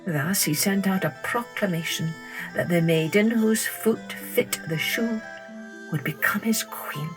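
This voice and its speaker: A woman storyteller reading a scripted children's tale in a deliberate, expressive manner. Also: mid-range voice, over music, poor audio. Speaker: storyteller, podcast host.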